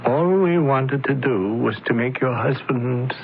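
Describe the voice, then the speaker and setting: An actor playing a calm, solemn male alien envoy in a radio drama, speaking slowly and formally. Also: mid-range voice, creaky, a little hiss or hum. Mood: regretful. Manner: slow, measured, formal. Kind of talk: 1970s radio drama acting. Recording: dull lo-fi old radio broadcast.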